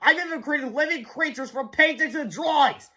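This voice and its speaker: Man acting a high-voiced, boastful schoolboy inventor. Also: moderate pace, sharp tone, full character voice. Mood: proud, boastful. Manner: grandiose, showy, lecturing. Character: eager, boastful schoolboy inventor, proud of his gadget.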